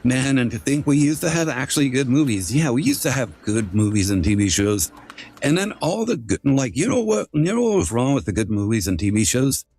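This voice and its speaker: A man's voice: mid-range voice, sing-song, fairly quick, a little mellow, no echo. A man hosting a casual livestream, chatting informally with some swearing and often repeating his phrases. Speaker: livestream host.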